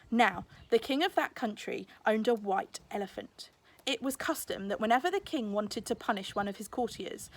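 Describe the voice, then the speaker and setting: A British woman, a teacher, reading a children's story aloud in expressive narration. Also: fairly high pitch.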